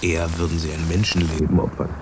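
An actor playing a dry, self-important conspirator on watch in a satirical radio play, gravelly and mock-solemn. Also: male voice, very deep voice, level tone, quick pace. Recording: loud rain noise behind voice.

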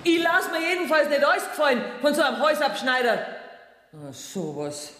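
A woman's voice: on the low side, noisy background, lightly acted. An actress playing a brash, scheming woman in a radio play, speaking brusquely in Bavarian dialect. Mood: indignant. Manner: brusque, bossy. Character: brash, scheming, cash-strapped woman, bossy and defiant.

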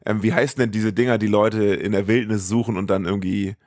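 A man with a fairly low voice, recorded close and dry. He chats casually as a podcast co-host, playfully rambling and often breaking off to correct himself. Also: steady tone, a little fast, a little mellow, dry recording.

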